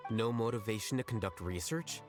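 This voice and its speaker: A man's voice: on the low side, fairly quick, natural voice. An actor voicing a composed, aloof male scholar in a video game, terse and matter-of-fact. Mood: cool, composed. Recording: game music underneath.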